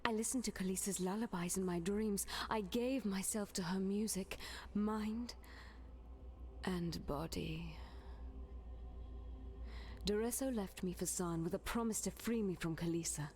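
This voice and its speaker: An actress voicing a lovelorn, obsessed female sea monster in a slow, theatrical video game monologue that slips into whispers. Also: mid-range voice, darkish tone, close to an everyday voice. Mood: pleading, haunted.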